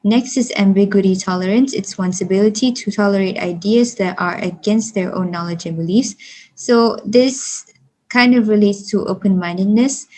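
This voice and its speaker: Young female student from Malaysia, class presenter, mid-pitched, explains steadily with fillers. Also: moderate pace, darkish tone, low-quality recording. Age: young adult.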